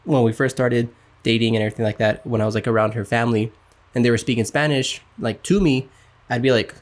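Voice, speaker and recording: Man, podcast co-host, hesitant halting delivery, stops and restarts, casual chat. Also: mid-range voice, fairly even intonation.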